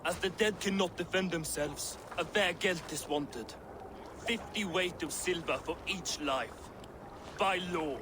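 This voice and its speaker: A man acting a grim, coldly menacing Viking jarl in a game cutscene, deliberate and formal. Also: fairly high pitch, background noise, lightly acted. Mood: cold, accusing.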